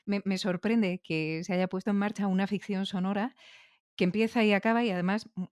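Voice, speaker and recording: Female podcast interviewer, accent from Spain, smooth clear voice, chatty musing delivery, very dry recording. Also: medium pitch, steady tone, fairly quick, darkish tone, no echo. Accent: Peninsular Spanish. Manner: chatty, musing aloud with frequent tag questions. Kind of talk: conversational interview.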